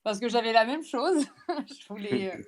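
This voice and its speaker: A woman's voice: a little high, animated, moderate pace. A woman chatting casually as a guest on a podcast. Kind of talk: casual chat. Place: remote recording from own location.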